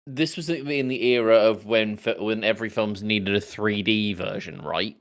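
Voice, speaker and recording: A man's voice: on the low side, moderate pace. A man co-hosting a podcast in casual, conversational chat. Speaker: podcast co-host.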